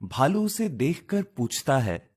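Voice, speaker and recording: A man's voice: medium pitch, big pitch swings, slow pace. A man narrating a scripted children's story. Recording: loud music bed behind voice.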